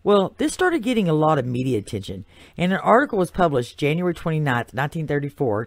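A host with a Texas accent, reading a prepared narration in a steady storyteller's delivery with occasional asides, recorded on a new microphone. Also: mid-range voice, no room sound. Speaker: podcast host. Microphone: new desktop microphone.

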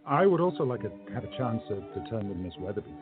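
A man playing a courtly, resolute time-travelling gentleman in a radio play, with formal, emphatic speech. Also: fairly low pitch, big pitch swings, fast, phone-quality sound, over music. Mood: courteous, effusive.

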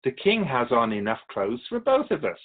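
Man playing an animated, wry soul keeper. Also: mid-range voice, narrow, tinny audio. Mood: wry, storytelling. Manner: measured, philosophical, gently challenging. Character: Keeper of Souls, wise otherworldly storyteller, courteous and wry. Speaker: interviewee in a radio drama. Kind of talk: radio drama dialogue, storytelling.

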